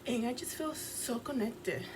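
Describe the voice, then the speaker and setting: A woman's voice: a little high, expressive, unhurried. Earnest Dominican woman, noisy room. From Dominican Republic. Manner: earnest and rambling, many fillers. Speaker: vlogger and fashion designer. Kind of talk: casual vlog, recounting a dream. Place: her apartment. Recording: clear background noise.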